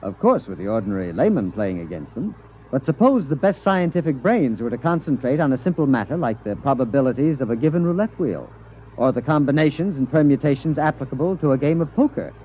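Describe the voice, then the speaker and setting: An actor playing an earnest, naive, stubborn scientist with formal, precise academic phrasing in a 1950s radio drama. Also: male voice, medium pitch, animated, fairly quick, warm tone, lightly acted. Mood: scheming. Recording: dull, noisy vintage radio recording.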